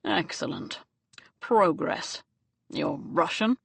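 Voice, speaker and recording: A brooding young gentleman, blunt and scornful, as a woman narrator puts him on. The voice drops lower and quieter than the narration, with clipped delivery. The recording is close and dry. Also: expressive, slow, creaky, slightly rough, no room sound, exaggerated voice. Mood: cold.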